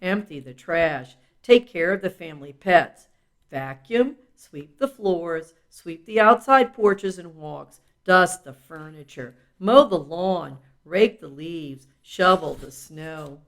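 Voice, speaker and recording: Female audiobook reader, lowish voice, very varied intonation, instructive children's narration addressed straight to the child. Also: lively intonation, slow pace. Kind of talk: scripted narration of a children's advice book.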